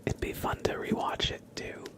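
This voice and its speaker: A man doing casual ASMR chat in a hushed, mostly whispered voice, rambling gently. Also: normal speed. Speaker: ASMR livestreamer.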